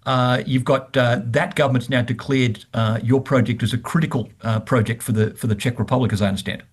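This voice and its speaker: A man from Australia hosts a business interview and asks his questions quickly. His voice has a slightly husky edge. He stumbles and repeats words as he goes. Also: medium pitch, steady tone. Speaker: interview host.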